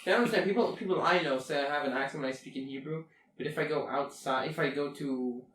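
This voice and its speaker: A man's voice: on the high side, quick pace, fairly bright tone. A young adult man, co-hosting a podcast in casual chat. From United States. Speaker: podcast co-host. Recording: echoey, distant mic, faint background noise.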